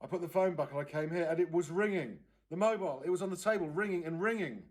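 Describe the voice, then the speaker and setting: A man reading a storybook as a distraught, tearful aunt, halting and emphatic as the character speaks. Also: fairly high pitch, voice pushed up, natural voice. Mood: anguished. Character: distraught, tearful aunt recounting a missed phone call.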